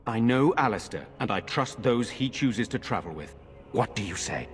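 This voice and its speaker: An actor playing a grave, courteous nobleman in a fantasy video game, with a formal and measured way of speaking. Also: mid-range voice, animated, soft background music, close to an everyday voice. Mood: grave, urgent. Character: noble lord, grave, courteous, worried defender of village. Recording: long reverberant hall echo.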